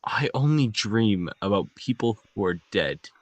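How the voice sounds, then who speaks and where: A man's voice: lowish voice, lively intonation, unhurried, no echo. A man at a script table read playing a haunted man grieving his lost lover. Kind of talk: dramatic script reading.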